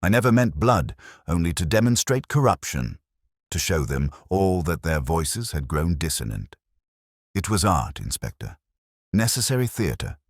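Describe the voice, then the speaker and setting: A man's voice: low voice, sing-song, measured pace, no echo, studio quality, heavily acted. A man voicing a proud, bitter woman librarian in a mystery story, with a haughty, grandiose manner. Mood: defiant.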